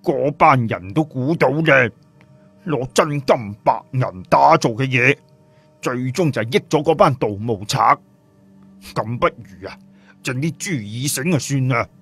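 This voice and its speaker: Man voicing brash, husky, streetwise Fatty. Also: mid-range voice, animated, measured pace, a bit bright, faint music behind, exaggerated voice. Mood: scornful explaining. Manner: mocking, slangy, blunt.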